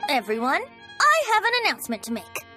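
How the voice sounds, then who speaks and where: With music clearly underneath, a youthful bard speaks high and with bouncy intonation. The game character is breezy and playful, with a cheerful theatrical flair. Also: female voice, wildly swooping pitch, a little fast, bright, forceful tone, heavily acted. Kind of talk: English video game voice acting. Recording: music playing behind the voice.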